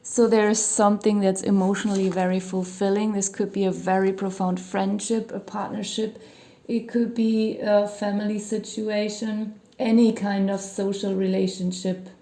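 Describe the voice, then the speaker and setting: A woman doing a tarot reading who is losing her voice a little and sounds slightly hoarse. She talks in a loose, meandering way with lots of "you know" and small hedges. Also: medium pitch, flat delivery, moderate pace, mellow. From Germany. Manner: meandering, hedging, many "you know" fillers. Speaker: tarot reader. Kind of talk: casual tarot reading monologue. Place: home near a hospital, sirens outside.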